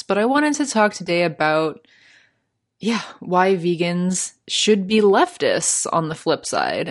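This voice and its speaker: Chatty Canadian woman podcaster. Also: lowish voice. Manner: chatty, laughs often, many fillers. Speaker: podcast host. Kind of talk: solo podcast commentary.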